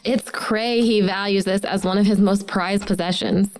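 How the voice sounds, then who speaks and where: A woman playing a bookish, sarcastic teenage schoolgirl in an audio drama, with slangy, expressive delivery. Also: mid-range voice, moderate pace, lightly acted, rough recording. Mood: thoughtful chatty.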